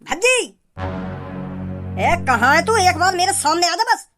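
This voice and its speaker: A man voicing an angry, stern father in an amateur comedy cartoon, indignant and scolding, with a pitched-up voice. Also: big pitch swings, measured pace, music in the background, full character voice.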